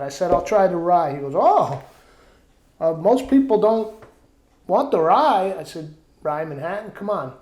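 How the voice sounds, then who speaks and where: A man's voice: high voice, dark tone, echoey room. A man hosting a casual home cooking vlog, chatting and rambling through stories. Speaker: cooking show host. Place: outdoor backyard kitchen.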